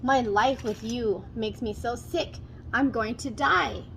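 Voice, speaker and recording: A woman's voice: on the high side, takes it slowly, gravelly, a bit bright, a little hiss or hum, full character voice, poor audio. A woman voicing a scheming, jealous stepmother in a storybook, complaining and demanding with melodrama. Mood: feigning illness.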